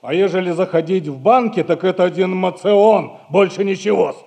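An actor playing a sly, obsequious merchant's clerk in a Russian stage comedy, servile yet drily impudent. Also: male voice, high voice, moderate pace, vocal fry, warm tone. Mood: drily cheeky. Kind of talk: 1960s Russian stage comedy acting for audio.

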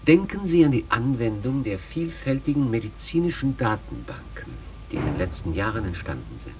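A man's voice: medium pitch, very animated, moderate pace, background noise. A man giving a formal expert lecture with carefully built sentences.

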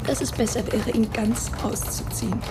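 Woman acting distressed defiant daughter, highish voice, halting emotional delivery, radio drama, noisy background. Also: flat delivery, natural voice. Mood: pained.

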